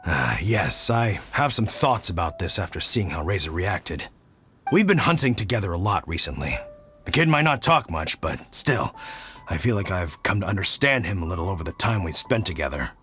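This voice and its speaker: A man's voice: deep voice, sing-song, normal speed, a bit bright. A man voicing a kindly, protective middle-aged hunter father in a video game, with a folksy, thoughtful, avuncular manner. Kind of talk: anime-style video game voice acting. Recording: faint music behind the voice.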